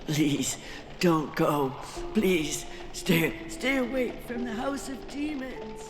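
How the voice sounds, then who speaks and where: A woman's voice: medium pitch, slow pace, music in the background, natural voice. An actor playing a frightened, superstitious old woman in an audio drama, pleading and ominous. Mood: desperate pleading. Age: older.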